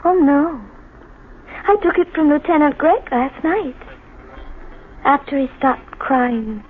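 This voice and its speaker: An actress playing an unhinged young wife, childlike and possessive, with plaintive melodrama in a 1950s radio thriller style. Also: female voice, high voice, expressive, slow pace. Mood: cold, menacing. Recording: noisy, tinny 1950s radio recording.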